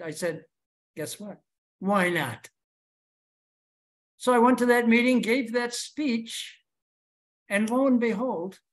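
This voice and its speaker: A man from Chicago giving a prepared speech to his club over a video call, telling his story with rhetorical questions. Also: high-pitched. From Chicago, USA.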